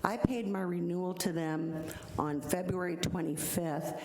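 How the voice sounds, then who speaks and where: A middle-aged woman, an insurance agent, earnestly explaining her case at a city code hearing in a loud voice. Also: on the low side, steady tone, measured pace, slight background noise. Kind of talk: public hearing testimony. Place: city hall hearing room. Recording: echoey hall from council mic.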